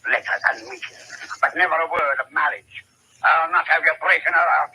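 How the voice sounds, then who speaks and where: Gruff, protective rustic father, old radio drama; hoarse-edged, blustering, very forceful. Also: fairly high pitch, a little fast, exaggerated voice, poor audio. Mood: indignant. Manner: blustering, rustic uneducated speech. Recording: thin vintage radio recording.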